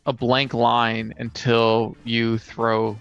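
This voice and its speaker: A man's voice: mid-range voice, monotone, very slow, gravelly, fairly bright tone, smooth. A man co-hosting a casual gaming chat, explaining things conversationally as one of the players. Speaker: podcast co-host and player. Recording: slightly dull audio, music behind. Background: music.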